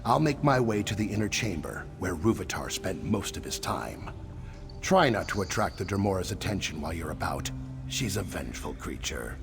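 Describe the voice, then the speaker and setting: Game role: wary reptilian male survivor, lowish voice, lively delivery, dry sarcasm, music underneath. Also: moderate pace, gravelly, exaggerated voice. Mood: urgent, bargaining. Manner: wry, dry sarcasm, clipped questions tagged on statements. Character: wary sardonic male lizard-folk survivor hiding in a ruined temple. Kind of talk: video game character dialogue.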